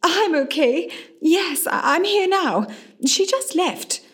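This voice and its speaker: A woman's voice: very high-pitched, animated, takes it slowly, fairly bright tone, exaggerated voice. A woman acting in a radio play as a witty, self-possessed famous actress in her forties who is a hospital patient. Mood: breezy, reassuring. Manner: wry, dry, theatrical and affectionate.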